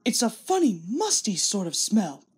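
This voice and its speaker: A woman's voice: medium pitch, normal speed, husky, exaggerated voice. A woman voicing a cheeky, naughty little male tank engine in a children's storybook. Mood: curious. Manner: cheeky, lively.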